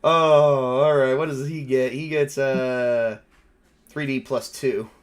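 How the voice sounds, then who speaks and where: A man casually narrating a tabletop role-playing game as game master, excitable and quick to swear. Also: mid-range voice, smooth.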